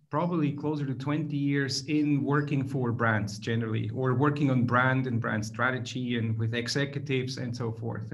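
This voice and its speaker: Man, Swiss accent, middle-aged, slightly high voice, explains at length, video call. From Switzerland. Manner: thoughtful, explanatory, restarts phrases. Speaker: guest author. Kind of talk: interview answers over video call. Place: plain room indoors.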